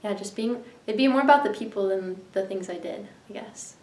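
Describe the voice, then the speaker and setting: A woman's voice: medium pitch, fairly quick, a little mellow. A young woman answering interview questions in a casual, tentative way, often qualifying what she says. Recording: echoey room, distant microphone.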